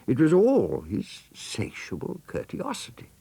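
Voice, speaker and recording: A man's voice: mid-range voice, wildly swooping pitch, a little slow, vocal fry, dark tone, husky, no room sound. A man narrating a children's storybook theatrically, savouring the words and addressing the listener directly. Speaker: audiobook narrator.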